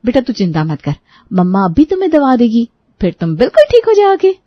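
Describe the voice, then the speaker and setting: A woman's voice: fairly high pitch, huge pitch swings, fairly quick, no echo, muffled recording, voice pushed up. Storybook role: young Indian daughter-in-law a woman performing, polite, persuasive, reassuring, close dry sound. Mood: concerned. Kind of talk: animated moral storybook acting.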